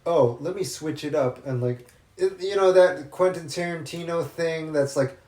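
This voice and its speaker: A man's voice: on the high side, normal speed, a little mellow. A man co-hosting a casual movie podcast, rambling with many restarts and plenty of enthusiasm. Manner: rambling, restarts often, upbeat about films. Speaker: podcast co-host. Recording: roomy echoey room.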